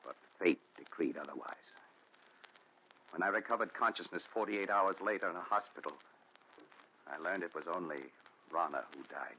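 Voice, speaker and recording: An actor playing a haunted, desperate man confessing his story, with intense, dramatic pauses in 1940s radio melodrama style. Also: low voice, bright, forceful tone. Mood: somber recounting. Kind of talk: 1940s radio thriller acting. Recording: dull noisy 1940s radio recording.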